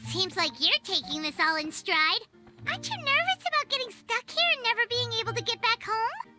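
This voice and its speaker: A woman voicing a small, excitable, childlike fairy companion in a video game, with a squeaky voice. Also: fairly quick. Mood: curious. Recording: game music behind the voice.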